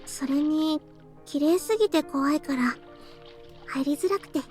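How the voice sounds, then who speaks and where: Casting: woman as young female visual novel lead, high voice, brisk pace. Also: a little mellow, faint music behind, natural voice. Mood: soft, gentle.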